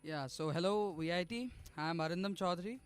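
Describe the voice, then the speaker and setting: A young man from Bangladesh, a student synth player, answers interview questions in a friendly way. He laughs lightly and leans on fillers. His voice runs fairly high, with soft music behind. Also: brisk, clear, resonant tone. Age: young adult. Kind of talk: radio interview guest.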